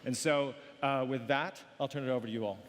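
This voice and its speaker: A man's voice: mid-range voice, fairly even intonation, brisk, a little hiss or hum. Male host introducing speakers in a reverberant hall. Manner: informal, with fillers. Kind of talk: introductory remarks.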